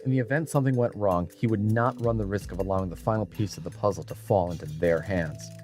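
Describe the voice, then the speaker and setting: Low-voiced man narrating an adventure story. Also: fast, a little mellow, faint music behind. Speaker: audiobook narrator. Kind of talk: scripted narration of adventure fiction.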